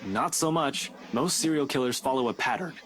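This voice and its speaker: A man's voice: medium pitch, normal speed, a bit bright, slight background noise, lightly acted. A man voicing a curt, exasperated police officer. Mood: irritated. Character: brusque young male police officer. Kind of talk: video game voice acting.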